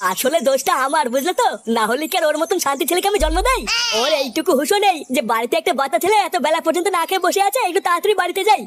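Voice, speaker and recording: Cartoon old father: very high-pitched, breakneck delivery, foul-mouthed, sour and sarcastic. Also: very fast, hardly any pauses, background noise. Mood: bitter sarcasm. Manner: sarcastic, complaining. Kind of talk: comic cartoon dialogue.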